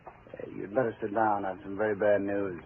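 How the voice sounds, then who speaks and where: Somber detective sergeant male actor, courteous and lower-pitched, narrow-band vintage radio mystery sound. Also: level tone, a little fast, natural voice. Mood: somber, matter-of-fact. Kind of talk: 1940s radio drama acting. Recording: tinny old radio, faint noise.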